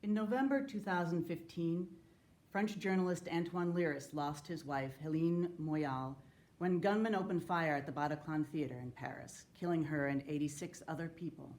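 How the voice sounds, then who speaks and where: A woman giving a solemn, earnest and measured dramatic reading of a letter. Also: low voice, darkish tone. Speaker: actor reading historical letters.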